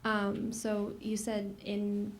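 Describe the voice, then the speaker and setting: A woman's voice: medium pitch, monotone, very slow. A woman at a live book talk asks a rambling, tentative question from the audience, over faint room noise. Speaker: audience member asking a question. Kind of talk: hesitant unscripted question.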